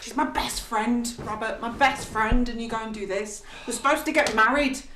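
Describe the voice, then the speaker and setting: An actress playing a betrayed young fiancée, bitter and shouting. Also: female voice, fairly high pitch, moderate pace, echoey room, background noise, close to an everyday voice. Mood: furious.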